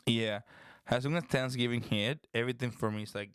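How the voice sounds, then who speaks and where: Young male podcast host, relaxed and rambling, clean voice, dry room. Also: mid-range voice, a little fast, clean tone, dry recording. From Dominican Republic. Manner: relaxed, rambling, says "bro". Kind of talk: casual chat.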